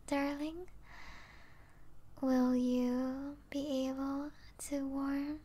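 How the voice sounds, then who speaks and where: Woman acting as a vampire princess, high voice, very slow pace, possessive, seductive, soft teasing ASMR roleplay. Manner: slow, teasing, soft delivery with intimate whispers.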